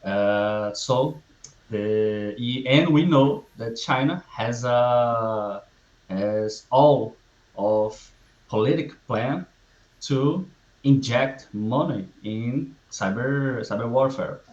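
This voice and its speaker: Guy talking slow, lots of restarts, kinda dull lo-fi sound. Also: mid-range voice, darkish tone. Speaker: guest malware analyst. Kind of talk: conversational interview.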